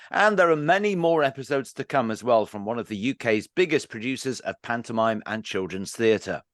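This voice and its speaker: A man's voice: medium pitch, fairly bright tone, dry recording, clean studio sound. A man, speaking as a podcast host introducing and interviewing guests. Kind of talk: scripted introduction and interview questions.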